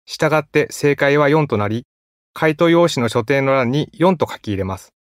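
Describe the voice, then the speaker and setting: A man reads exam instructions at a quick clip, his delivery formal, clear and even. The sound is dry and close, with no room around it. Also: medium pitch, no echo. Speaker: exam announcer. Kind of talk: scripted test instructions.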